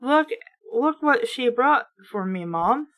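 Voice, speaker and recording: A woman voicing an excitable, indignant young boy in a horror storybook. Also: fairly high pitch, takes it slowly, lo-fi sound. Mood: surprised.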